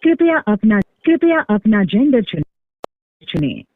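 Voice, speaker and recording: A woman's voice: fairly high pitch, very animated. Tinny phone-line woman reading menu prompts. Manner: formal, measured instructions. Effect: telephone line. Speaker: automated phone line announcer. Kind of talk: scripted IVR menu prompts. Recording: tinny recorded phone call.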